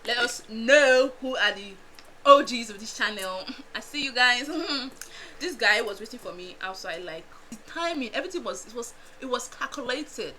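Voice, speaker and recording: A young Nigerian woman with a Nigerian accent, chatting casually to her viewers in an animated storytime vlog, laughing often and making asides. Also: a little high, normal speed, poor audio. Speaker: vlogger.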